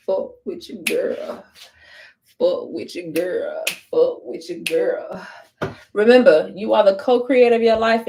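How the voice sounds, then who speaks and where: A woman's voice: mid-range voice, darkish tone. Brief: woman livestreamer, casual, blunt, swears freely, repeats lines, phone mic at home. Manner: blunt, profane, chatty, repeats herself. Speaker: livestream vlogger. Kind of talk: casual chat while doing her hair.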